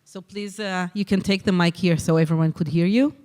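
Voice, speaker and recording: Clear-voiced conference convener. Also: mid-range voice, steady tone, darkish tone. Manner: measured, with frequent um and uh. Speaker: session convener. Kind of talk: conference moderation and answers. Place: conference hall.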